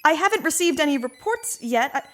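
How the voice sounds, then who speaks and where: An actress playing a polite, eager, anxious secretary, sweet and hesitant, in a comic audio drama. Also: female voice, high voice, expressive, a little fast, fairly bright tone.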